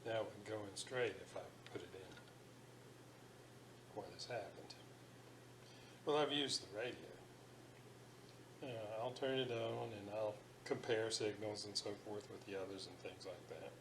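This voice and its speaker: A middle-aged man giving a casual workbench demonstration, thinking aloud in an unhurried way with fillers. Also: fairly low pitch, noisy background. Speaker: radio restoration hobbyist. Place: home electronics workbench.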